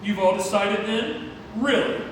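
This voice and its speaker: A man's voice: sits high, unhurried. A man playing an arrogant, tyrannical circus ringmaster with a pompous, bullying manner in a theatrical play read-through. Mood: indignant, menacing. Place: community theatre, large indoor room. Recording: noisy echoey hall, far from mic.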